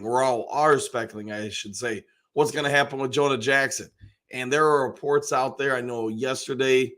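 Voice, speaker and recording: An older man with a hoarse voice, hosting a casual live stream and rambling along with plenty of fillers. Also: medium pitch, a bit bright. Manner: rambling, folksy, lots of fillers. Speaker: host.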